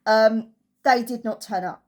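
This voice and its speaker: A woman's voice: on the high side, measured pace, darkish tone. A woman giving a casual, chatty holiday review as a travel vlogger, telling it through anecdotes.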